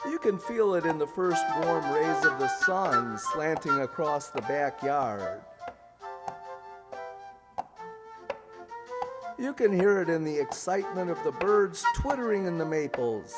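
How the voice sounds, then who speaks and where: A man's voice: on the high side, lively intonation, unhurried, over music. Man acting a swooping, emphatic 1940s radio announcer. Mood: lyrical. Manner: emphatic, salesmanlike. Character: polished, enthusiastic 1940s radio show announcer and pitchman. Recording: echoey hall stage recording.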